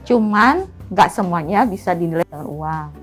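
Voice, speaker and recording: Lively-voiced woman in her forties. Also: lowish voice, moderate pace, faint music behind. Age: 40s. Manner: lively, expressive, laughing. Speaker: interviewee, mother. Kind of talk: casual interview answers.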